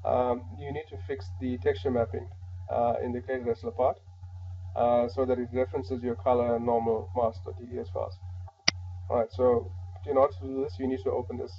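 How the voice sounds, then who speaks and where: A man with a slightly hoarse voice from a flu, calmly walking through a modding software tutorial step by step, with frequent "alright" and "uh". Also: mid-range voice, a little fast, lo-fi sound. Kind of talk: screen-recorded software tutorial.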